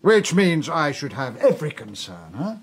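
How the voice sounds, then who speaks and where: An actor playing a wily, suspicious old king with a gravelly, sardonic voice in a historical audio drama. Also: on the high side, sing-song, normal speed. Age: older. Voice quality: gravelly, husky. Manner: sardonic, commanding. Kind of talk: historical radio drama acting.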